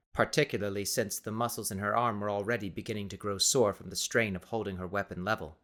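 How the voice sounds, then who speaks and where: A man's voice: lowish voice, a bit bright. A man reading scripted, dramatic story narration as an audiobook narrator.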